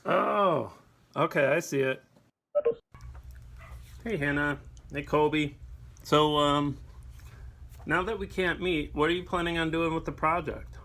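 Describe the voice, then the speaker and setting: Man acting as befuddled middle-aged teacher, hesitant, bright highish voice, mockumentary comedy. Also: measured pace, long pauses. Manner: hesitant, flustered. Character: flustered, befuddled dad teacher fumbling with technology. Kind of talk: acted mockumentary, video meeting.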